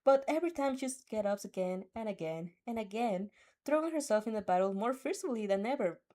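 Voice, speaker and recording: A woman reading fan fiction in an animated storytelling style, sometimes stumbling over words and stepping out of the script. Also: on the high side, brisk. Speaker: fan fiction reader and dubber. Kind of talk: expressive storytelling with off-script asides.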